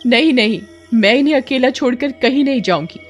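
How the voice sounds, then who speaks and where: A woman's voice: high-pitched, fairly quick, over music, voice pushed up, natural voice. A woman playing a devoted, earnest village wife in a cartoon horror story. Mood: determined. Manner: earnest, pleading. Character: devoted young village wife, pleading and resolute.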